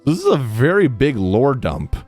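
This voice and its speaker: A man's voice: mid-range voice, very animated, normal speed, a little mellow. A young man, a game streamer, reacting casually to gameplay on a close microphone. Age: young adult. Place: small indoor streaming room. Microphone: close microphone on boom arm. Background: game music and dialogue.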